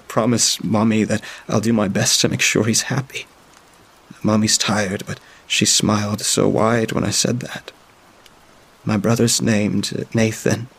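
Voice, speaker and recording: A frightened young child pours out diary entries in a low, gravelly, husky voice, stumbling over fillers and restarting sentences, as a man reads the part. The delivery stays flat and subdued, barely rising or falling. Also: heavily acted. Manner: halting, with frequent filler sounds. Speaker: storyteller. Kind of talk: first-person diary reading.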